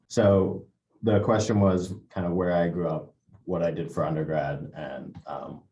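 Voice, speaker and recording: A man's voice: deep voice, fairly even intonation, unhurried, warm tone, roomy sound, lo-fi sound. A man speaking on a panel over a video call, with a hesitant manner full of restarts. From England. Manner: hesitant, many restarts and fillers. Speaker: MBA panelist. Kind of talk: casual panel discussion. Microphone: computer mic on a video call.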